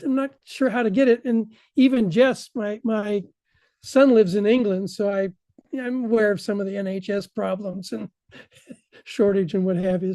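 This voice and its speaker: An older man asking a halting question on a video call, often repeating words as he goes. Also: high voice, warm tone, no room sound. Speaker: audience member asking a question.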